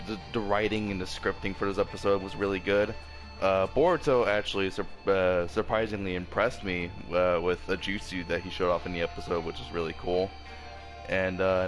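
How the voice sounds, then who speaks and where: A man with a sore throat reviewing an anime episode in a casual, rambling way, full of fillers and restarts. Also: low-pitched. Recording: faint background music.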